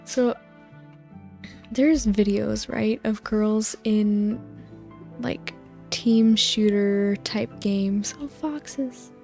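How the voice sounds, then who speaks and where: A woman with a soft voice, rambling over gameplay in a sleep-aid video, meandering and sometimes losing her thread. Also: medium pitch, fairly even intonation, extremely slow, creaky, long pauses, faint music behind. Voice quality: soft voice, drifting into whispers. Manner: soft, meandering, loses her train of thought. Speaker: gaming video host.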